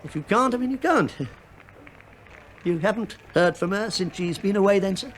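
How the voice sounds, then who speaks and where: Wily, rambling English police inspector, lively pitch. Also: male voice, moderate pace, slight background noise. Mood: sly, probing. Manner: rambling, deferential, needling small talk. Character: wily, chatty, falsely bumbling male police inspector. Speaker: police inspector in a radio play. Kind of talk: British radio drama acting.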